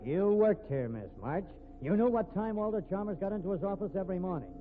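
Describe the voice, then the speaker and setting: Irritable high-voiced police detective. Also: male voice, expressive, fairly quick. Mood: dogged, accusing. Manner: blunt, slangy. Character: gruff, stubborn, irritable male police detective. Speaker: police investigator. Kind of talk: 1950s radio drama acting. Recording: tinny, noisy vintage radio recording.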